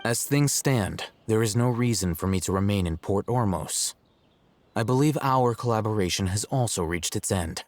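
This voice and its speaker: A man voicing a calm, analytical young scholar in a video game, speaking in a composed, formal, detached manner. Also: on the low side, normal speed, dry recording, lightly acted. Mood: detached, matter-of-fact. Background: soft game music.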